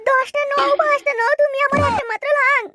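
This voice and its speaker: Very squeaky, sweet-talking cartoon housewife. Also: female voice, fairly even intonation, brisk. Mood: affectionate. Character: affectionate, bossy married village woman. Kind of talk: cartoon comedy dialogue.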